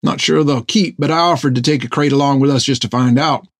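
A man voicing an excitable, food-loving male sailor who talks in a folksy, rambling way. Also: medium pitch, fast, dry recording, clean studio sound, lightly acted. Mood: chatty. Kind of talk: audiobook character voice.

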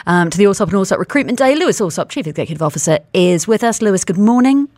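A woman's voice: mid-range voice, animated, racing, a little mellow, no echo. A woman presenting a radio business report and interview in a conversational news style.

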